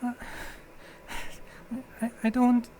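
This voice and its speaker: Woman acting a halting, distressed murder suspect. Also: on the high side, completely flat delivery, dark tone. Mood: nervous, defensive. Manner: halting, defensive. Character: distressed, hesitant young female murder suspect under questioning. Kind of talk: dramatic interrogation acting.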